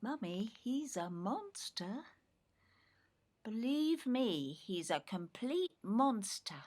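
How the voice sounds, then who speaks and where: An actress playing a hurt, resentful grown daughter in an audio play, with an English accent and an emotional, pleading manner. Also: female voice, fairly high pitch, big pitch swings, slow pace, darkish tone, heavily acted. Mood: bitter, resentful. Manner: emotional, pleading, bitter emphasis. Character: hurt, resentful grown daughter, long overlooked little sister.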